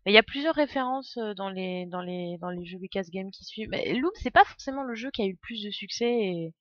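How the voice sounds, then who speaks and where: A woman's voice: mid-range voice, animated, a little fast, dry recording, highs cut off. A woman giving casual live game commentary as a streamer, chatty and playful, wandering into asides.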